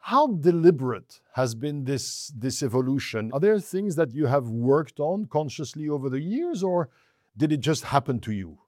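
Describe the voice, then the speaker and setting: A man's voice: on the high side, sing-song, moderate pace, warm tone, no room sound, studio quality. A man hosting an interview, asking composed questions and giving brief affirmations.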